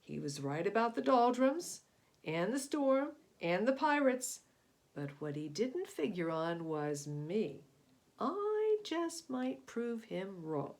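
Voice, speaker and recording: A woman voicing a gruff, plucky male sea serpent in a children's storybook, growling and muttering with salty bluster. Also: fairly high pitch, very animated, slow pace, a little mellow, exaggerated voice. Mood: muttering, determined.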